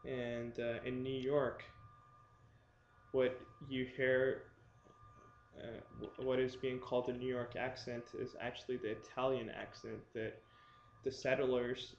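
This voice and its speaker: A young man talking casually to his viewers in a halting way, with many pauses and fillers. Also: mid-range voice, little pitch movement, echoey room, highs cut off, slight background noise. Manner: slow, halting, many ums. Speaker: vlogger. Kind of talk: casual talk to camera.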